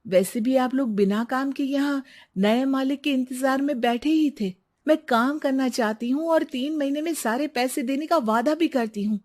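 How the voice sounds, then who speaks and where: A woman's voice: high voice, normal speed, a little mellow, voice pushed up. A woman voicing a determined young businesswoman in a cartoon moral story, firm, earnest and persuasive. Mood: insistent.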